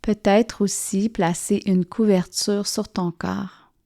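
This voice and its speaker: A woman guides a yoga nidra relaxation, speaking softly and soothingly with gentle pauses. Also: mid-range voice, steady tone, gravelly. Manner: soft, soothing, gently pausing. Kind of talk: guided relaxation meditation narration.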